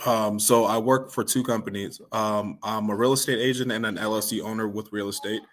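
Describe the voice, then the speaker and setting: A man's voice: on the low side, steady tone, a little fast. An American man chatting informally and earnestly as an interview guest. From Hawaii and San Diego, USA. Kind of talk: casual chat.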